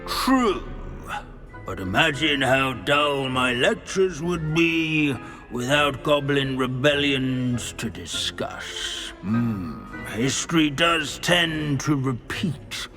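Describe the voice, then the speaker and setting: An actor voicing a pedantic old ghost history professor in a video game, musing drily and wistfully. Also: male voice, mid-range voice, expressive, slow, bright, forceful tone, faint music behind. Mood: musing, wry. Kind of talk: video game character dialogue.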